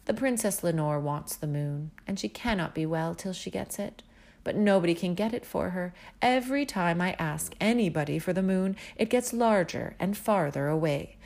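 A woman's voice: on the low side, moderate pace, vocal fry, darkish tone. Woman doing a demanding storybook king. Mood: mournful. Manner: impatient and commanding, turning mournful. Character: hot-tempered demanding old king.

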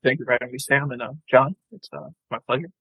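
A man's voice: medium pitch, quick pace, husky, dry recording, dull audio. A man speaking casually as a podcast guest, stammering and often repeating words as he goes. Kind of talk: casual interview talk. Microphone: video call.

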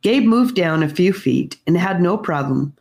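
A woman reads a children's fantasy story aloud, gentle and unhurried. Her voice sits low for a woman. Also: lively intonation. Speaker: audiobook reader. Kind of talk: storybook narration.

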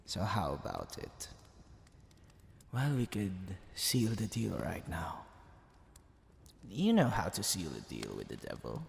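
Seductive demon king, performed by a man, gravelly, wildly swooping delivery, theatrical drawl, heavy reverb. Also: deep voice, wildly swooping pitch, slow, long pauses, full character voice. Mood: coaxing. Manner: drawling, theatrical, with whispered asides. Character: teasing, seductive, smug male demon king. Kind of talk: ASMR roleplay. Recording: long reverb, faint background noise.

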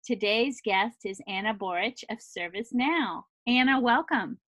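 A woman's voice: a little high, normal speed, fairly bright tone, dry recording. A middle-aged woman hosting a podcast interview, effusive and enthusiastic. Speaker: podcast host. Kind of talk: conversational interview.

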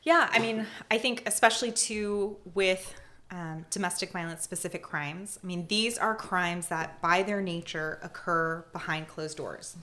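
A woman's voice: lowish voice, a little slow, sharp tone. A young woman speaking as a prosecutor in casual conversation, thoughtful and hesitant, with many fillers and restarts. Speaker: prosecutor, podcast guest.

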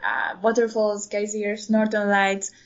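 A woman in her twenties, a guest in a casual interview, speaking hesitant non-native English with many pauses and fillers. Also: mid-range voice, little pitch movement. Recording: dull lo-fi recording.

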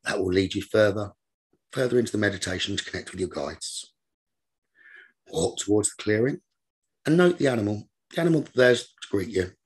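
A man leading a guided meditation as a teacher, giving gentle, repetitive instructions in a slow manner. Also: fairly low pitch, expressive, breathy edge.

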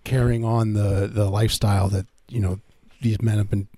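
Male comedy podcaster, slightly deep and relaxed, halting deadpan banter. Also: a little hiss or hum. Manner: hesitant, deadpan absurd riffing.

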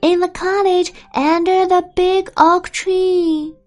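A woman voicing an innocent, trusting young girl in a storybook reading, with a high childlike voice. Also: level tone, slow, darkish tone, faint music behind.

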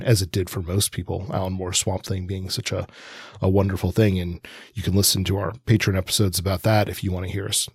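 A man's voice: fairly low pitch, a little mellow, breathy edge, no echo, clean studio sound. A man co-hosting a podcast, talking in a chatty, digressive, casual way. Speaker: podcast co-host. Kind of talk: casual rambling discussion.

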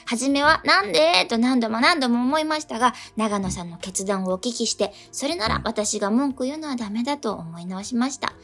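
A woman with a fairly high voice co-hosts a radio show. She reads listener mail aloud in a polite manner. Music plays faintly behind her. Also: lively intonation, a little fast, hardly any pauses, fairly bright tone. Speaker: radio co-host reading listener letters. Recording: radio talk over background music.

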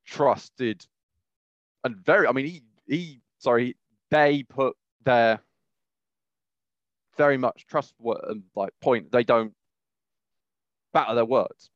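A man talks casually as a podcast co-host, with a mid-pitched voice. He speaks haltingly and rambles, often rewording as he goes. The sound is dry and a little dull. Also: no room sound. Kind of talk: casual gaming news discussion.

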